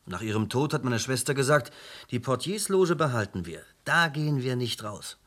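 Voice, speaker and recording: A young out-of-work chauffeur in a radio drama. He has an average-pitched male voice and answers plainly, on the defensive, as a witness under questioning. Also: expressive, moderate pace, a bit bright. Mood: guarded, insistent. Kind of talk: German radio play acting.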